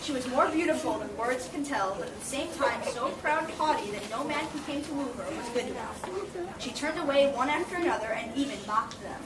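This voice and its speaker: High-voiced young woman narrating, distant and echoey. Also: fairly quick. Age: young adult. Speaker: puppet show narrator. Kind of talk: storybook narration for children. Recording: echoey room, distant mic, noisy background.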